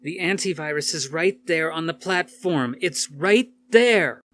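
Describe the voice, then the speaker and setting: A man's voice: a little high, lively intonation, measured pace, sharp tone, slight background noise, pitched above the performer's own voice. A man voicing a determined, defiant woman heroine with an insistent, emphatic delivery. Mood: livid.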